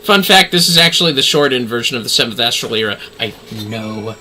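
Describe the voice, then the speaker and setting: A man's voice: medium pitch, lively intonation, a little fast, bright, forceful tone, slight background noise, poor audio. A man giving casual, rambling livestream game commentary, swearing now and then. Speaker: game streamer.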